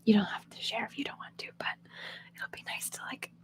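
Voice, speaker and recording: Whispering woman on a live stream. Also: fairly quick. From Oklahoma. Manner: soft whisper, chatty, laughs often, answers chat questions. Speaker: ASMR channel host. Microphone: phone.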